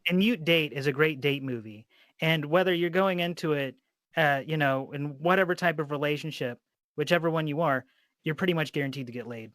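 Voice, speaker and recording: A man's voice: a little high, fairly quick, fairly bright tone, no echo. A man hosting a podcast in a casual, informal way, with plenty of swearing. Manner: informal, profanity-laced. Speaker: podcast host and interviewer.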